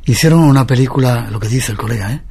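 A man hosting a radio interview, asking questions in a relaxed way and reading out listener greetings. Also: medium pitch, quick pace, slight background noise. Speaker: radio host. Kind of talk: late-night radio interview.

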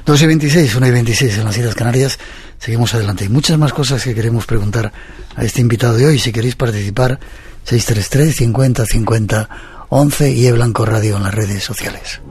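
A man's voice: medium pitch, fairly quick, darkish tone, slightly rough, no room sound. A man hosting a radio interview, asking short questions and reading out listener greetings and the time. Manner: reads listener messages and station breaks. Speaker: radio host.

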